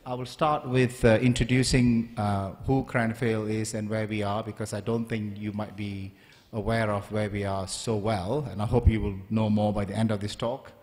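A man in his forties, from Malaysia, giving a polite, measured university lecture at a stand microphone with frequent ums and uhs. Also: lowish voice, little pitch movement, darkish tone. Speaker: guest lecturer. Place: large lecture hall, standing at a lectern. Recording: long hall reverb.

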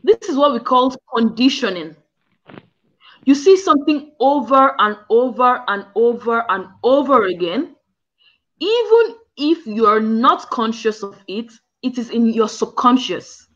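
A woman's voice: a little high, lively intonation, unhurried, fairly bright tone. A young African woman co-hosting a casual discussion from home over a video call, explaining earnestly with examples. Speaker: co-host. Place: indoors at home. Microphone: video call, front camera. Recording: muffled video call audio.

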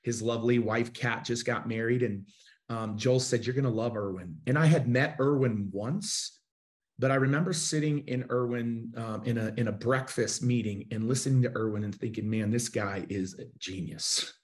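A man's voice: medium pitch, moderate pace. A man in his forties hosts a live online interview show from his home studio. He talks with eager enthusiasm and gestures freely, his voice sitting in an everyday middle range as it comes over a video call. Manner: enthusiastic, gestures while talking. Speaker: host. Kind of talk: casual interview.

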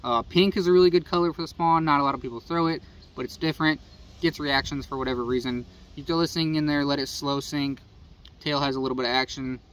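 A man's voice: on the high side, fairly even intonation, quick pace, a bit bright. A young man with a Midwestern American accent, casually running through his fishing gear to camera, talking in an easygoing, rambling way. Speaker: fishing channel host. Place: outdoors beside a house. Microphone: phone camera at arm's length. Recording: slightly distant phone audio, faint outdoor noise.

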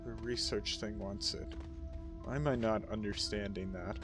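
A man's voice: lowish voice, steady tone, normal speed, music in the background. A man co-hosting a game recording, talking casually and hesitantly, with many fillers. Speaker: let's-play co-host. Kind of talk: casual gaming chat.

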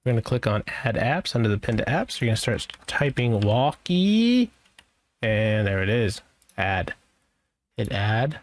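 A man presenting a software tutorial in a casual, informal way, thinking aloud as he goes. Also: mid-range voice, big pitch swings, a little slow, no echo. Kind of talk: casual screen-share software walkthrough.

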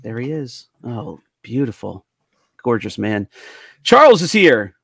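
A man's voice: medium pitch, sing-song, a little slow, vocal fry, fairly bright tone, husky, no echo, studio quality. A man hosting a casual group chat on a video call, with an upbeat announcer-like delivery. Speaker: podcast host. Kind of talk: lively casual group chat about toys. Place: home room on a video call.

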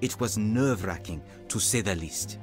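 A man's voice: on the low side, animated, moderate pace, soft background music, close to an everyday voice. An actor playing a humble, self-doubting young African evangelist, narrating his own story in a radio drama. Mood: reflective. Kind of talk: dramatised first-person narration.

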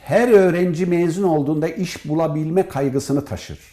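A man speaking as a professor and interview guest, giving formal, emphatic and deliberate answers. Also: fairly high pitch, mellow. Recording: roomy echo.

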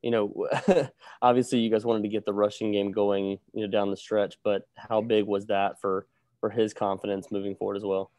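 Mellow, flat-voiced young reporter man. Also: fairly low pitch, moderate pace, no echo. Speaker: sports reporter. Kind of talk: press conference questions.

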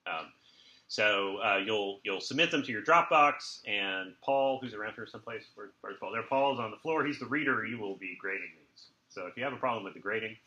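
Bright, low-voiced man lecturing. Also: fairly quick, muffled recording. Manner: wry, jokey asides. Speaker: university lecturer. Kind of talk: informal classroom lecture. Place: lecture room with students present. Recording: roomy lecture recording.

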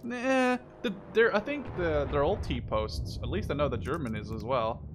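A man in his twenties hosting a gaming video, giving casual, excitable commentary and swearing often. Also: fairly high pitch, normal speed, music in the background. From Netherlands. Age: 20s. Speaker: gaming channel host. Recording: noisy game audio behind voice.

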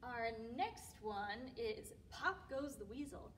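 A woman with a high voice leads a children's storytime, talking to little ones in an encouraging way. She is recorded from a few metres off in a library room, with clear background noise behind her. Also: lively intonation, takes it slowly, fairly bright tone. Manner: addresses young children directly, encouraging. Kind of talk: sing-along children's storytime. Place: library room among bookshelves, indoors. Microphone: camera mic a few metres away. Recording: distant mic, room noise.

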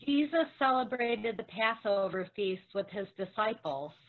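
A woman's voice: medium pitch, animated, measured pace. A woman playing a grieving, devoted follower of Jesus in a dramatic scripture reading. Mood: solemn. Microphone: video call. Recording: dull, lo-fi video-call audio.

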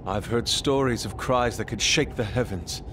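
An actor playing a solemn, eloquent young thief in an anime game, with a formal, grave and theatrical manner. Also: male voice, mid-range voice, normal speed, background noise, lightly acted.

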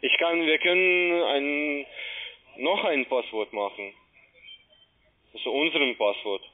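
A man speaking non-native German as a call centre agent, making a halting, repetitive sales pitch over the telephone. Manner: halting, repeats himself. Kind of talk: phone sales talk. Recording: muffled narrowband phone line.

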